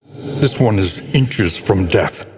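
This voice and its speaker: Grave gothic game narrator, male, low-pitched, raspy and gravelly, solemn, ominous, theatrical, long reverb tail. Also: animated, slow, a little mellow, heavily acted. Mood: grave, analytical. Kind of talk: scripted dramatic game narration.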